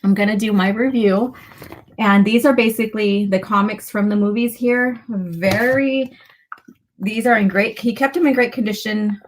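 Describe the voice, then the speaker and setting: A woman with a slightly raspy voice hosting a casual live chat, talking to viewers in a chatty way with pauses and asides. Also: mid-range voice, steady tone, slight background noise. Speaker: livestream host.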